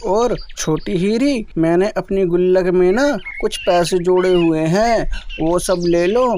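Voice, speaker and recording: A man's voice: sits high, a little slow, dark tone. A man voicing an innocent little boy bird in a cartoon, pleading, with faint noise. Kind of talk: cartoon dialogue.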